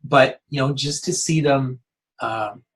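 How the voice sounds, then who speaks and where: A man's voice: medium pitch, slow, a bit bright. A man speaking earnestly in a casual interview as a youth outreach worker, often restarting his sentences. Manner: earnest, full of restarts and "you know".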